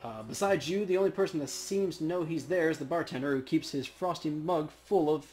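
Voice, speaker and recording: Slightly high-voiced man narrating a game. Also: a little fast, darkish tone, soft background music. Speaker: let's play host. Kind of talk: casual game commentary, reading game text aloud.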